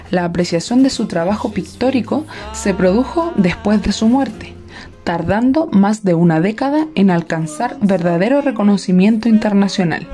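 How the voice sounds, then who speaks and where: Mellow-voiced woman narrating formally. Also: mid-range voice. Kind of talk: scripted documentary biography narration.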